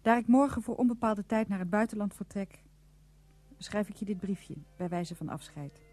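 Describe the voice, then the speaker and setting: A woman's voice: medium pitch, normal speed, mellow, no echo, natural voice. An actress playing a wounded, resigned woman reading out a farewell letter in a radio drama. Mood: sad, resigned.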